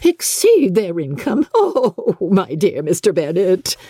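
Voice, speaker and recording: Woman acting a gushing, excitable middle-aged mother, high-flown and animated. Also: takes it slowly, breathy edge, no echo, studio quality, heavily acted. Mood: astonished, excited. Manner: effusive, exclaiming.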